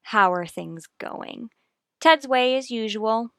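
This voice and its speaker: A woman playing a worried, firm mother with a measured, formal manner in a radio soap opera. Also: fairly high pitch, animated, fairly bright tone, smooth, no echo, clean studio sound, exaggerated voice. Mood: composed.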